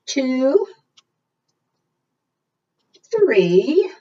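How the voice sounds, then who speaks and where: A woman's voice: high voice, sing-song, extremely slow. A woman hosting a preschool storytime, reading aloud in a sing-song way and putting questions to her young listeners. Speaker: children's librarian and storytime host. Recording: dull, lo-fi sound, distant mic.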